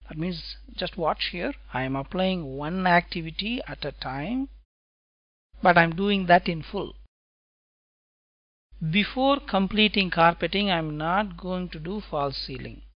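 Male instructor, unhurried step-by-step explainer, dry close recording. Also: high voice, animated, no echo, muffled recording. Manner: slow, deliberate explaining, tag questions "right?". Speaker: software testing instructor. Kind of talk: screencast lecture.

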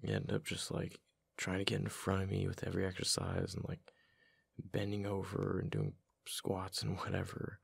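A man acting as a worn-out husband in an intimate boyfriend audio roleplay. His voice is very low and heavily gravelly, with a husky edge. He talks casually and haltingly, fond but a little exasperated. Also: completely flat delivery, no room sound, studio quality, exaggerated voice. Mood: gently exasperated. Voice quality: deep, gravelly. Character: tired, affectionate husband, mildly exasperated, back from gym.

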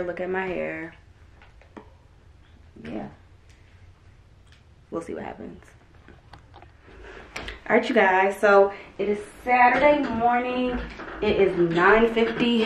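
A young woman vlogging at home, chatting casually to a camera a few feet away, relaxed, with lots of "um" and "like". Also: mid-range voice. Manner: chatty and relaxed, many "um" and "like" fillers. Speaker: lifestyle vlogger. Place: bedroom or dressing room at home, indoors. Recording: roomy echo of a bare room.

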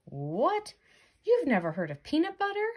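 A woman's voice: high-pitched, huge pitch swings, normal speed, pitched above the performer's own voice. A woman voicing an earnest, exasperated jellyfish friend in a storybook, questioning and coaxing. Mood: incredulous.

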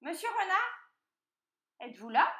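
Here is a woman acting out a sly little boy piglet from a storybook, high and very animated. He pleads with exquisite politeness and fake innocence, in a roomy, echoing space. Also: a little slow, loud music behind the voice. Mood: wheedling. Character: cunning young piglet, polite and wheedling, male. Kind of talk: children's storybook character voice.

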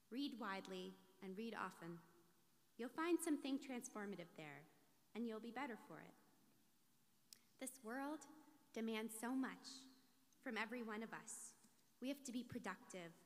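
A woman rabbi delivering a scripted sermon with measured, deliberate phrasing in a large sanctuary. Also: a little high. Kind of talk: scripted sermon, read from a lectern. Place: large synagogue sanctuary, indoors. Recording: reverberant large hall.

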